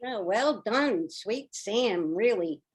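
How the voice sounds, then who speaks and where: A woman's voice: medium pitch, expressive, slow pace, husky. A woman moderating a casual discussion on a video call, putting questions to participants.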